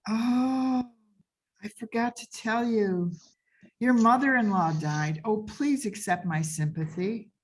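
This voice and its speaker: A woman's voice: on the high side, slow pace, low-quality recording. A woman playing a folksy, blithely apologetic servant who breaks bad news with hesitant understatement in old-time radio comedy.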